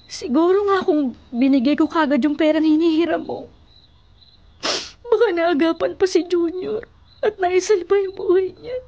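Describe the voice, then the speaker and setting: A woman's voice: very high-pitched, moderate pace, warm tone, dull audio. A woman with a Filipino accent acting a superstitious, emotional older sister who pleads earnestly in a radio drama. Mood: remorseful.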